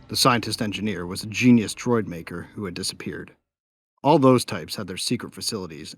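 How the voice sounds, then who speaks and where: An actor playing a sardonic, hot-tempered young bounty hunter who narrates an audio drama in a wry, informal way. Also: male voice, low voice, slightly rough, no echo. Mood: wry, watchful. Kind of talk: first-person scripted narration of an audio drama. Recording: music behind the voice.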